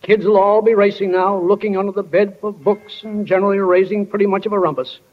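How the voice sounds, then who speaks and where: An actor playing a wry, plainspoken small-town narrator in a 1940s radio drama. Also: male voice, high-pitched, fairly even intonation, fast talker. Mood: folksy, wry. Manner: plainspoken, drawling folksy wording. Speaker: stage manager narrator. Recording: muffled old 1940 radio broadcast.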